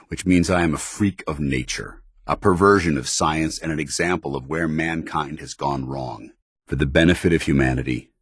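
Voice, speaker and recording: An actor playing a stern, earnest, dutiful male soldier in a video game, speaking with formal, measured military diction. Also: rumbling low, slightly rough, no echo, clean studio sound, heavily acted. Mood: grave, resigned. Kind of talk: video game voice acting, companion dialogue.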